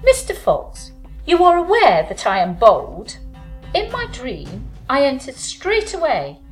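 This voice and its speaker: A woman playing a bold young gentlewoman in a folk tale, speaking in formal, theatrical, old-fashioned diction. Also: sits high, wildly swooping pitch, takes it slowly, faint music behind, exaggerated voice. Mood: pointed, suspenseful. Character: bold young gentlewoman bride recounting a sinister dream.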